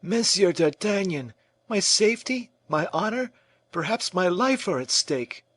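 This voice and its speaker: A man acting an urgent, high-pitched, frantic nobleman. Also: animated, measured pace, fairly bright tone, full character voice. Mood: alarmed, anxious. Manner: urgent, exclamatory questioning. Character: anxious, desperate nobleman, a royal finance minister in danger. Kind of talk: audiobook character acting.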